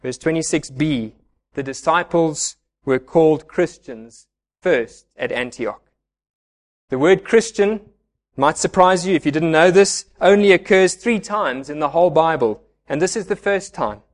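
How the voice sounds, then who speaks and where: High-voiced preacher. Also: male voice, measured pace. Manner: earnest, pleading, explanatory. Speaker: pastor. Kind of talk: sermon. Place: church.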